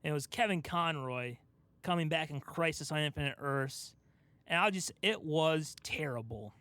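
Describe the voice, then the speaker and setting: Male podcast host, young adult, higher voice, emphatic explainer in casual discussion, close dry sound. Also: normal speed, bright, forceful tone, no echo. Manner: explanatory, emphatic, talks through an argument step by step. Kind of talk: casual pop culture discussion.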